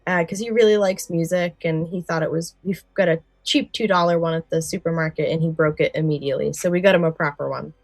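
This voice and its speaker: A woman's voice: low-pitched, steady tone, fast, hardly any pauses, dry recording. A woman co-hosting a podcast, moving between casual chat and prepared summaries. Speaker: podcast co-host.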